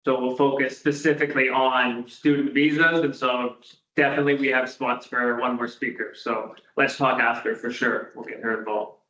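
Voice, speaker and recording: A man organizing the sessions who speaks briskly and reassuringly. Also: mid-range voice, steady tone, pushed and bright, echoey room, muffled recording. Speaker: event organizer. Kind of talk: casual panel talk.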